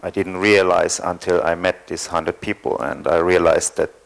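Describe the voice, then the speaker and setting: A man from East Germany, speaking English, telling his story as a panel guest with many pauses and fillers. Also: low voice, steady tone, vocal fry. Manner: hesitant, thoughtful, many fillers. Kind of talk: panel discussion, personal account.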